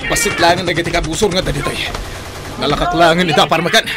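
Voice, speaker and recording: A man's voice: a little high, fairly even intonation, quick pace, very noisy, lightly acted. A man playing a frantic gunman in a radio drama firefight, with an Ilocano accent. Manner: frantic, urgent. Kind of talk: Filipino radio drama acting. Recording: loud music and gunfire sound effects.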